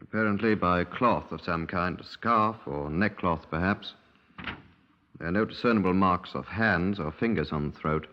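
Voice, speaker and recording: An actor playing a precise, cautious British medical examiner in a 1950s radio crime drama, clinical and formal in manner. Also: male voice, deep voice, moderate pace, close to an everyday voice. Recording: dull 1950s radio recording.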